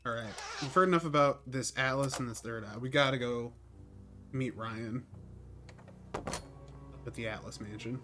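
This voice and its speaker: Wry male game streamer, mid-pitched. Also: normal speed, long pauses, music in the background. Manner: wry, sarcastic. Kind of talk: casual live commentary.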